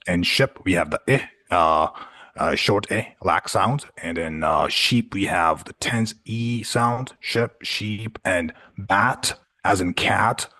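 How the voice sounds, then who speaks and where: A man from Punjab with Urdu as his first language and a very low voice. He speaks in a relaxed, easygoing way, filling his sentences with "you know" and "like" as he shares tips with a class. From Punjab, Pakistan. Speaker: guest speaker. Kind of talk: online class talk.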